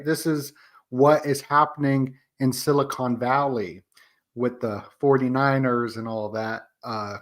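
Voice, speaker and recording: A man on a podcast panel talking casually, in a loose, associative, conversational way. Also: mid-range voice. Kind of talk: casual discussion.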